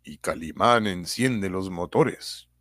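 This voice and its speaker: Slow, low-voiced man narrating. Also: lively intonation, fairly bright tone, no room sound, clean studio sound. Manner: measured storytelling. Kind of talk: radio drama reading narration.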